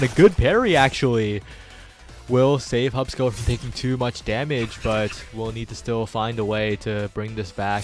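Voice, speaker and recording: A man with a fairly low voice provides live play-by-play for a video game tournament. He follows the action in long, flowing sentences. Music plays faintly underneath. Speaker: esports commentator.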